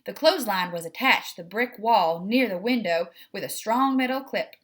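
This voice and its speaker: A woman reading a children's story aloud as narrator, in an expressive storytelling style. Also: mid-range voice, normal speed. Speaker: story reader.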